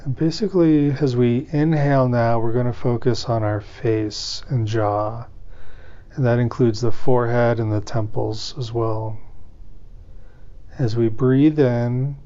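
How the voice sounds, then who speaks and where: A man guides a meditation in a mellow, soft-edged voice that stays very even in pitch. He gives gentle instructions in short phrases, leaving space between them. The recording is slightly dull. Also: unhurried. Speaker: meditation teacher.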